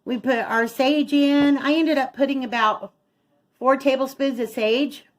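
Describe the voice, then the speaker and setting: A woman's voice: high-pitched, steady tone, measured pace. A middle-aged woman chatting casually as she narrates each step of her home cooking as a vlog host. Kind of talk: casual chat while cooking. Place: home kitchen.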